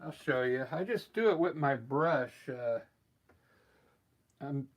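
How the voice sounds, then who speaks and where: A man with a scratchy voice from allergies, painting live and explaining his steps in a relaxed, thinking-aloud way. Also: mid-range voice, slow pace. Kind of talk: casual live painting demonstration.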